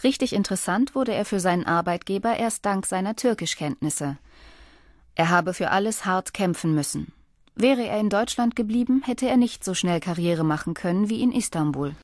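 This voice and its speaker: A woman reading scripted documentary narration in a measured, even way. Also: mid-range voice, no room sound, studio quality.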